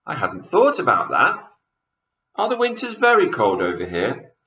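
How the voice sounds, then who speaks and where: A man's voice: medium pitch, huge pitch swings, moderate pace, sharp tone, voice pushed up, exaggerated voice. A man voicing a curious, carefree monkey father in a children's storybook, exclaiming and questioning in a roomy space.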